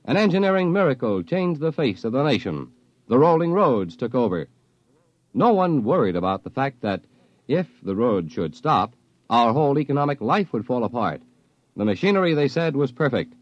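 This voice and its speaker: Man, medium pitch, sweeping intonation, portentous vintage narration, dry, muffled old recording. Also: sing-song, normal speed, dry recording. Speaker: radio announcer. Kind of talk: dramatic scripted 1950s radio preview narration.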